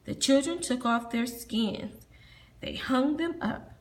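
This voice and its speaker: A woman reading a children's storybook aloud, pausing to ask the listener questions. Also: high-pitched. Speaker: storybook reader.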